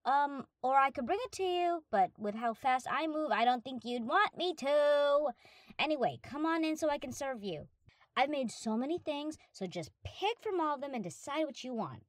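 A woman voices a young dog-girl full of eager affection. Her voice is high and swings up and down with excitement as she gushes and rambles. It is an audio roleplay, recorded very dry and close. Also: normal speed, dry recording. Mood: chatty, proud. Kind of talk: roleplay audio drama, acted monologue to the listener.

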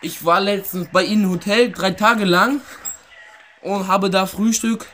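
A young man with a fairly high voice plays prank caller in a room. His delivery is halting and hesitant, and there is faint noise with the odd click on the recording. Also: fairly even intonation, pushed and bright. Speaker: prank caller, video host. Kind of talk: prank phone call with asides to viewers. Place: indoor room with wooden floor.

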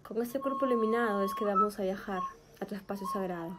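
A woman leading a guided meditation in a soothing, gentle manner, speaking in short, spaced phrases. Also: mid-range voice, fast talker, very noisy. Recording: background music with flute. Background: meditation music with flute.

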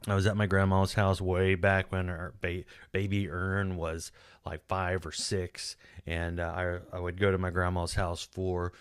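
A man's voice: low-pitched, little pitch movement, unhurried, smooth, no room sound, clean studio sound. A man in his forties with a Texan accent, chatting casually as a podcast host in a rambling, chatty way with lots of restarts. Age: 40s. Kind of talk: casual solo video commentary. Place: small home studio room. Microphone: boom-mounted studio mic close up.

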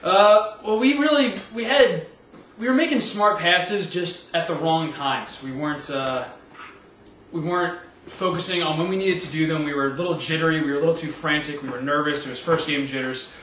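A man's voice: on the high side, moderate pace, fairly bright tone, far from the mic, slight background noise. A man playing a senior player, answering questions earnestly with some fillers. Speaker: senior player at a press conference. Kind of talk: comic press conference skit. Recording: echoey room, heard from afar.

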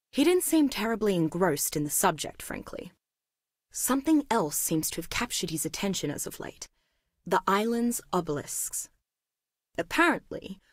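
A woman's voice: on the low side, no room sound, clean studio sound. An actress playing an inquisitive, analytical woman biologist in a video game, reading journal entries in an articulate, dryly wry way. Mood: intrigued, wry. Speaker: voice actor.

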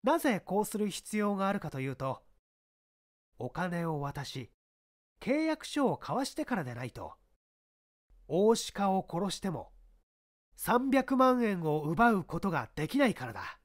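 A man's voice: high-pitched, big pitch swings, unhurried, long pauses. A man voicing a confident police inspector in a dramatised detective story, explaining his deductions in an emphatic, rhetorical way. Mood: confident, explanatory.

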